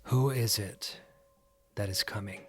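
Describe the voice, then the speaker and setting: An actor playing a troubled, haunted man, telling his story in a hushed, deliberate way in an audio drama. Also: lowish voice, soft background music, lightly acted. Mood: somber, resigned. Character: troubled, haunted man fleeing dread on island holiday. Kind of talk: audio drama acting with first-person narration.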